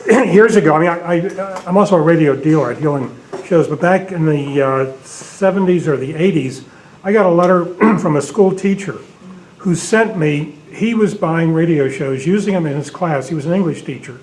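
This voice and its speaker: A man's voice: fairly high pitch, moderate pace, a little mellow. An older man telling an informal anecdote from the audience at a club meeting. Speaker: audience member, radio show dealer. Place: meeting room. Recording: faintly noisy meeting room.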